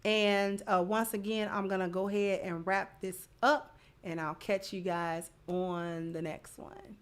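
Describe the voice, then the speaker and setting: A woman's voice: medium pitch, steady tone, measured pace, clean tone. A woman hosting a livestream, chatting casually and giving commentary to her supporters. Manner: effusive in thanking supporters. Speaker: livestream host.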